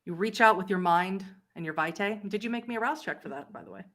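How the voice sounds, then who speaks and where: A woman's voice: medium pitch, animated, quick pace, a bit bright, a little hiss or hum. A woman running a tabletop roleplaying game as game master, narrating it like a dramatic story.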